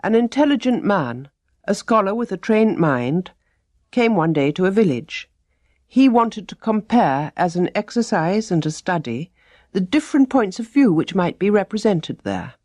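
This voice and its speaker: Female storyteller, medium pitch with lively melody, deliberate scripted reading, dry studio-like sound. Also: no room sound. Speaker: storyteller reading teaching tales.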